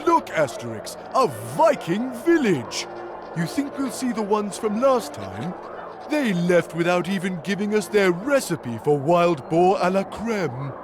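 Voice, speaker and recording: A man's voice: high-pitched, very animated, a little slow, noisy background. A man voicing a big, simple, good-natured and ever-hungry Gaulish strongman in a cartoon game, with a naive, hearty manner. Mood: eager. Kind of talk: animated game cutscene acting. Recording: music behind the voice.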